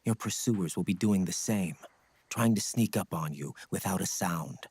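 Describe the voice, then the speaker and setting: An actor playing a stoic ninja scout mentor in a video game, speaking in a grave, measured, husky voice. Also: male voice, a little mellow, no room sound. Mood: solemn, instructive. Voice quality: low, husky. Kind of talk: dramatic video game acting.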